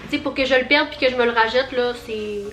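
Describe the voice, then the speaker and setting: A woman's voice: fairly high pitch, fast talker, a bit bright, reverberant. A young woman with a Quebec French accent, chatting through a casual haul vlog, bubbly and rambling with lots of fillers. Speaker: vlogger.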